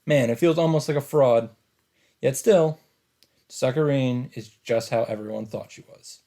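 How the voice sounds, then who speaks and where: A man's voice: medium pitch, moderate pace, dark tone. A man reading visual novel narration aloud as a let's play host, with casual asides.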